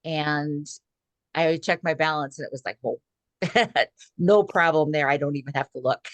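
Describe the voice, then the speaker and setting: Low-voiced woman in her sixties, chatty. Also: measured pace, dry recording. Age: 60s. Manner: chatty, many "um" fillers. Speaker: guest speaker. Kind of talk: casual video call talk. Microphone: video call.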